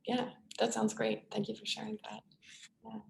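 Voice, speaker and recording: A woman runs an online workshop, her voice carrying a gravelly fry. She talks things through thoughtfully, with plenty of ums and restarts. The video-call audio is roomy and echoey. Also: a little high, completely flat delivery, moderate pace, a little hiss or hum. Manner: thoughtful, many fillers and restarts. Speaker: workshop facilitator.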